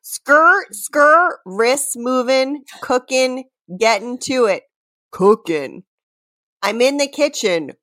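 A woman's voice: high-pitched, expressive, fairly bright tone, no echo. A woman co-hosting a comedy podcast, giving mock dramatic readings and casual banter in a theatrical, giggle-prone way. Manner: theatrical, deliberate delivery of silly lines, prone to giggling. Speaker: podcast co-host reading song lyrics.

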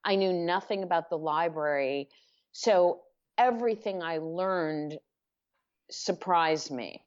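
A middle-aged woman speaking as an author in a podcast interview, thoughtful and measured, often restarting her sentences. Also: on the low side, a little mellow. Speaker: author and guest. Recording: dull lo-fi podcast audio.

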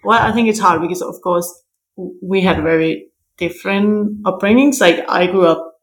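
A woman from Denmark, a podcast host who speaks softly and listens attentively. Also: fairly low pitch, moderate pace, poor audio. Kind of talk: interview conversation.

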